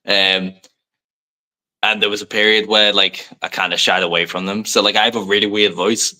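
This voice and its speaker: A young man from Liverpool with a low voice that still has a bright, forward edge. His accent is a soft English one with faint American touches. He talks in a loose, rambling way, heavy on fillers and modest asides. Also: flat delivery, normal speed, low-quality recording. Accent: soft English, light Americanisms. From Liverpool, England. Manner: rambling, many fillers, self-deprecating. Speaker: professional wrestler, guest. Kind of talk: casual podcast interview.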